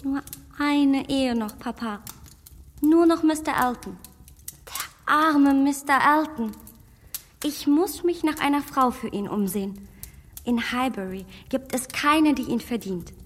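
A woman playing a self-assured young gentlewoman in a radio play, confident and playful as a meddling matchmaker. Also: sits high, slow, long reverb tail. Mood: eager, scheming.

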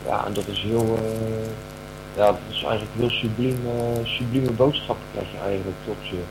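Over a clearly humming, noisy recording comes a fairly low-voiced actor as an earnest believer, a man in an audio play. He rambles hesitantly, pausing and repeating himself as he reassures and explains. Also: fairly even intonation. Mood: earnest, awed. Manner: hesitant, rambling, many pauses and repetitions. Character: earnest believer in friendly aliens, reassuring companion. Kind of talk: improvised-sounding Dutch audio drama monologue. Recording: noisy, with loud mains hum.

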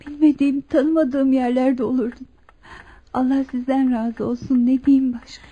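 A woman's voice: high voice, flat delivery, normal speed. An actress playing an emotional, polite woman who has lost her memory in a radio drama. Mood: tearful gratitude. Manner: polite, emotional, hesitant delivery. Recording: muffled old radio drama recording.

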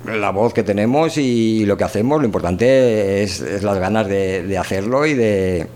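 Low-voiced host chatting over background noise. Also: male voice, moderate pace, a little mellow. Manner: rambling, colloquial, trailing off mid-thought. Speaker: radio podcast host. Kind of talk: casual unscripted chat.

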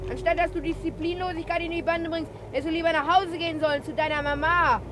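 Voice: very high voice, flat delivery, fast, background noise. A performer playing a bossy, swaggering boy who leads a street gang in a radio play, giving clipped orders. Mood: indignant. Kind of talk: German radio play dialogue.

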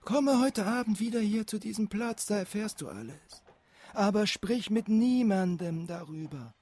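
A woman's voice: medium pitch, expressive, moderate pace, warm tone, breathy edge. A woman playing a bossy, streetwise young gang leader in a German radio drama, conspiratorial and commanding. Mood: sly, coaxing. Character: bossy, streetwise older kid leading petty thieves. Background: faint music.

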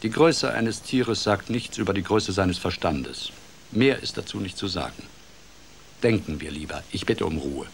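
A man voicing a wise, stern female owl who lectures with measured authority in a children's radio play. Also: low voice, expressive, vocal fry, a little hiss or hum. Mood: stern, calming.

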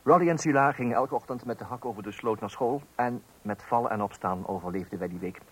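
An actor playing a wry family father who narrates a Dutch radio play in a measured way. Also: medium pitch, fairly bright tone, breathy edge, poor audio.

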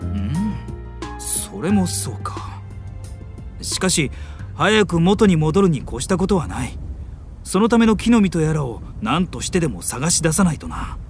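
Audio drama casting: stern, easily flustered older brother, high voice, very animated, stiff scolding diction. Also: male voice, a little slow, faint music behind. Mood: grumbling, weary. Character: irritable, serious older brother, easily flustered young man. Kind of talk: anime-style audio drama acting.

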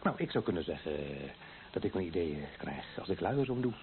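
An actor playing an affectionate, teasing husband in a wheelchair in a Dutch radio play, playful and intimate. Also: male voice, low voice, big pitch swings, brisk. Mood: musing, playful. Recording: tinny, band-limited old radio recording, faint noise.

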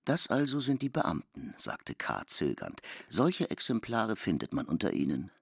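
Very deep gravelly sceptical outsider. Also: male voice, wildly swooping pitch, normal speed, no echo, clean studio sound, full character voice. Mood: sceptical, probing. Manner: hesitant, probing. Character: K., hesitant, sceptical outsider questioning villagers. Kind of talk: German radio play acting.

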